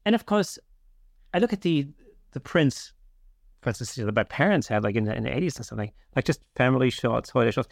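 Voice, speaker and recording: A man's voice: medium pitch, expressive, fast talker, vocal fry, no room sound, clean studio sound. A middle-aged man hosting a podcast, talking casually and conversationally. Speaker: podcast host.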